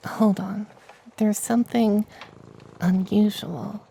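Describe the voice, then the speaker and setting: Casting: actor for a powerful, soothing femboy witch, soft ASMR delivery with even intonation and gravelly edges, faint noise behind. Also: mid-range voice. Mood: hushed, curious. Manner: hushed, partly whispered, slow and soothing. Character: tender, powerful femboy witch of a magical forest. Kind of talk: ASMR fantasy roleplay.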